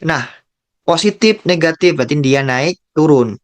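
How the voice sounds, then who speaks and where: A man with an Indonesian accent tutoring calculus, working slowly through a graph problem, repeating key terms and thinking aloud. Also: a little high, expressive. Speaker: math tutor.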